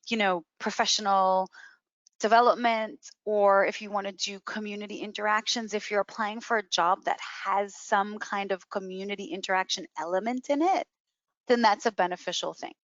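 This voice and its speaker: Female career coach, emphatic explainer, mid-pitched, on muffled webinar audio. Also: fairly bright tone, no echo. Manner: emphatic, repeats key words for stress. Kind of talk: explanatory webinar advice with question and answer.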